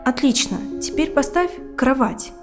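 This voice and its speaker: A woman playing a calm, attentive psychotherapist in her thirties in an audio drama, asking and guiding in a measured way. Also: sits high, music in the background.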